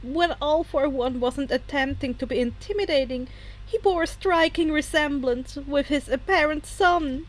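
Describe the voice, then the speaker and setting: A woman narrating fan fiction as expressive storytelling, with character voices. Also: high-pitched, moderate pace, low-quality recording.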